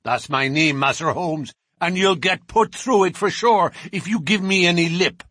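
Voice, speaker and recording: A man voicing a menacing male prizefighter thug who talks in rough, ungrammatical threats. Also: high voice, normal speed, fairly bright tone, dry recording, studio quality, voice pushed up. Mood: threatening.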